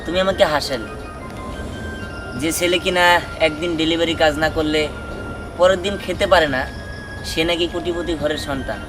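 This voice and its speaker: A poor, humble young lover, played by a man, speaking web drama dialogue. His voice is a little high, with music playing clearly behind it. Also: normal speed, pauses often, natural voice. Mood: earnest, humble. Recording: music over outdoor dialogue.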